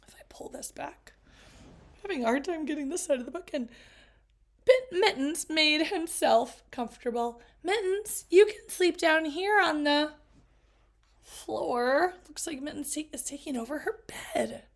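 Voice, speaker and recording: Expressive woman reading a picture book. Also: high-pitched. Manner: expressive, chatty asides to young listeners. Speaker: teacher.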